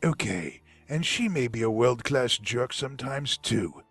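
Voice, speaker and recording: An actor playing a guarded man who calls himself a control freak, speaking in a dry, measured way. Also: mid-range voice, expressive, a bit bright, no echo, soft background music. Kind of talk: web drama acting.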